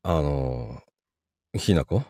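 A man acts out an evasive office-worker boyfriend in a radio drama, very low and husky. His Kansai-accented speech hesitates and stumbles apologetically, swinging widely in pitch. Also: animated, very slow, warm tone, no echo, clean studio sound, heavily acted. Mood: hesitant. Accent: Kansai Japanese. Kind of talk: Japanese voice drama acting with inner monologue.

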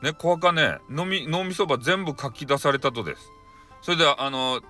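A man's voice: a little high, normal speed, pushed and bright, faint music behind. A man narrating a bedtime folktale in Hakata dialect, rambling with fillers and playful asides. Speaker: storyteller.

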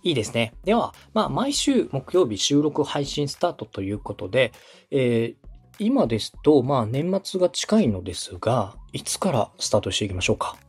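Husky, animated man coaching politely. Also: medium pitch, moderate pace, soft background music. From Osaka, Japan. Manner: polite, explanatory, step-by-step. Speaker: podcast host and radio director giving advice. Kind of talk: conversational coaching.

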